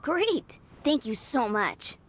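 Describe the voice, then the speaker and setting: A woman voicing an eager, earnest young female trainee knight in a video game, with expressive delivery. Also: high voice, slow pace, slight background noise, exaggerated voice. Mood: weary, apologetic. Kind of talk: video game character acting. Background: game music.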